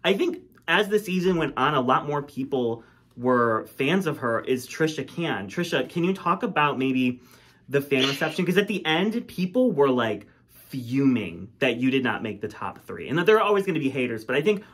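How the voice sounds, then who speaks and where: Easygoing panel host, medium pitch, leads with questions. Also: big pitch swings, normal speed, a bit bright, breathy edge. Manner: conversational, leading questions. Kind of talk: hosting a reunion panel.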